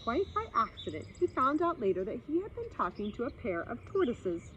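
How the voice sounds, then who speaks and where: A woman reading a story aloud expressively for children, pausing to explain and speak to her young listeners. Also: fairly high pitch, sing-song, fairly bright tone. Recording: slightly dull sound with faint background noise.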